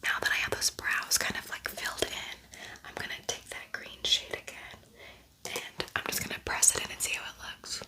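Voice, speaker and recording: Whispering woman, ASMR style. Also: normal speed. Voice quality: breathy, unvoiced whisper. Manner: chatty, rambling, thinking aloud. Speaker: ASMR creator and makeup tutorial host. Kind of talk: whispered ASMR makeup tutorial with casual chat.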